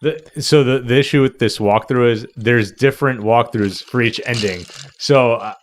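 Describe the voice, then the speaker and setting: A man's voice: mid-range voice, moderate pace, slight background noise. A man co-hosting a livestream, explaining things casually in a matter-of-fact way. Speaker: livestream co-host. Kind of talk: casual chat. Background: faint game music.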